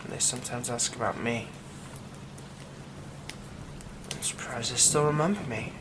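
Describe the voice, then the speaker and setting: A man's voice: mid-range voice, noisy background, lightly acted. A man playing a bitter, volatile recluse. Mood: hushed, wistful. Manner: soft half-whispered talk breaking into bitter, scornful outbursts. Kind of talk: ASMR audio roleplay.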